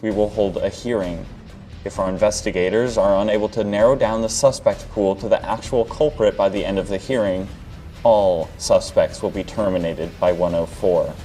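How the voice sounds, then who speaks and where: A man's voice: on the low side, dark tone, close to an everyday voice. A man voicing a cold, ruthless male director who gives clipped, commanding announcements in a story reading. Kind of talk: audiobook-style story reading.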